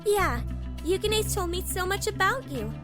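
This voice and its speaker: Casting: actress for young intern, very high friendly voice, casual chatty delivery, clear music bed. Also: female voice, animated, unhurried. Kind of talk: fan audio drama acting.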